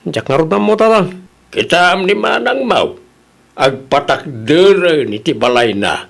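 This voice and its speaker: A man plays an eager, respectful young fellow in an Ilocano radio drama. He has a high, creaky voice that moves up and down a lot, with an Ilocano accent and earnest delivery. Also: animated, a little slow, fairly bright tone, heavily acted.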